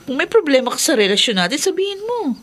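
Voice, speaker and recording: An actress playing a skeptical, exasperated wife in a horror radio drama, emphatic and impatient. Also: female voice, high voice, sing-song, quick pace, fairly bright tone. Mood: worried.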